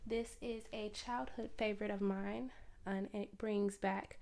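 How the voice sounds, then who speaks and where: A woman introducing and reading a children's picture book aloud in an expressive storytelling style. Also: medium pitch, unhurried, vocal fry.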